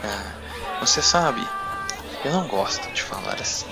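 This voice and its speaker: A man's voice: fairly low pitch, lively intonation, a little slow, vocal fry, loud music behind the voice, over a noisy crowd. Man playing a coy, drawling courtesan. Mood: coy, seductive.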